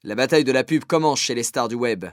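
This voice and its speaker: A man's voice: medium pitch, fast, no room sound, clean studio sound. A man presenting a scripted radio report as a narrator.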